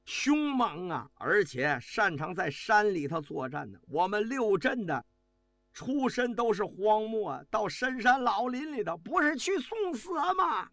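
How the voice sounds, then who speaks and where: Male storyteller doing a passionate officer haranguing the ranks; high, animated, dry. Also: measured pace, no echo, exaggerated voice. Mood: impassioned. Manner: fiery, pleading, shouting rhetoric. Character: impassioned male army officer rousing troops.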